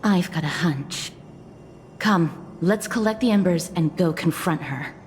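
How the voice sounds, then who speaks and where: A woman's voice: fairly low pitch, measured pace, darkish tone, a little hiss or hum, natural voice. A woman playing a composed, polite and resolute young heroine in a video game. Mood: thoughtful, resolute. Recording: reverberant, light music behind.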